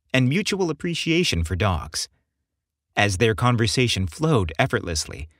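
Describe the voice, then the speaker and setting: A man narrating scripted fiction as an audiobook narrator, in a measured, expressive storytelling manner. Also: medium pitch, no echo, clean studio sound.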